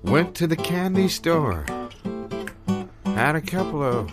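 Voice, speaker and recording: A man performing improvised comedy, deadpan and unhurried, pausing between phrases. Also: a little high, over loud music. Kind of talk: improvised deadpan comic monologue and banter.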